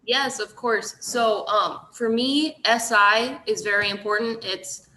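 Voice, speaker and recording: A woman's voice: on the high side, fairly even intonation, slow pace, sharp tone, echoey room, low-quality recording. A young woman, a student, giving upbeat and eager study advice to incoming students. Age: young adult.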